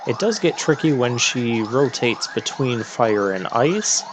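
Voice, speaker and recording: A man giving casual, halting commentary as he plays through a video game. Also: medium pitch, expressive, low-quality recording. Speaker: gamer narrating a playthrough. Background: video game music.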